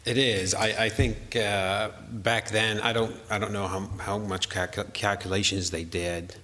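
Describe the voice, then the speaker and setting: Deep-voiced Syrian-born engineer, halting. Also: male voice, little pitch movement, bright, forceful tone, long reverb tail. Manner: hesitant, many fillers and restarts. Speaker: structural engineer guest. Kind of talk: interview answers.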